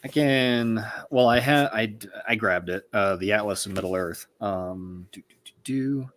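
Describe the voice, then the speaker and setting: A man co-hosting a casual discussion, thinking aloud in a halting, informal way. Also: lowish voice, fairly bright tone, slight background noise. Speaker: co-host.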